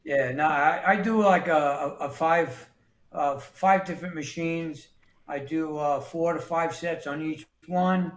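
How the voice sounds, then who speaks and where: A man in his sixties from New York City, speaking a little higher than most men. He talks plainly and often restarts his sentences. His voice rings in an echoey room. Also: steady tone, measured pace. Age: 60s. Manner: plain-spoken, repeats words and restarts often. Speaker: guest. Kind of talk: casual interview talk.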